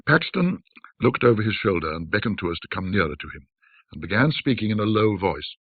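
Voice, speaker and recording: A man narrating a classic ghost story in a measured, dramatic, old-fashioned storytelling manner. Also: on the low side, sing-song, dry recording, phone-quality sound.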